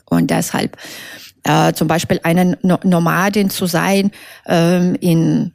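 A woman from Belarus, a native Russian speaker, answering interview questions in halting, careful German, with many fillers and self-corrections. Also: on the low side, steady tone, gravelly, a little mellow, no room sound, clean studio sound. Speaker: author being interviewed.

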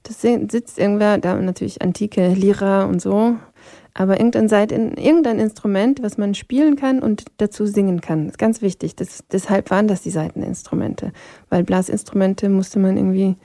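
A woman from Vienna with Polish as her first language, with a gravelly, mellow voice and a fairly flat melody. She talks as a guest singer, pausing and hunting for the right word. The sound is dry and close. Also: medium pitch, dry recording. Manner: thoughtful, pauses to search for words. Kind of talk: interview conversation.

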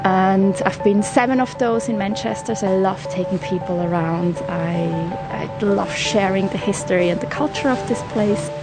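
A woman from Switzerland guides a food tour in casual talk. She sounds upbeat and chatty. Music plays clearly behind her. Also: mid-range voice, normal speed, few pauses, low-quality recording.

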